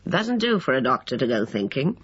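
A male narrator playing an aged, irritable country doctor with a gruff, dismissive manner, on a dull lo-fi recording. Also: medium pitch, big pitch swings, brisk, a bit bright, dry recording.

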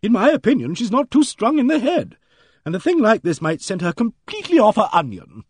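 A man voicing a loud, blunt, self-assured middle-aged woman who booms her opinions. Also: wildly swooping pitch, brisk, slightly rough, no room sound, clean studio sound, exaggerated voice. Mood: brisk, booming. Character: booming, bossy, complacent middle-aged woman.

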